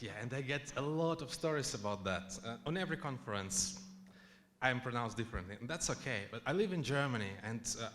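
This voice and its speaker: A man's voice: mid-range voice, fairly bright tone, a little hiss or hum. Chatty man giving a conference talk. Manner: chatty, many fillers and restarts. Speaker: conference speaker. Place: conference hall.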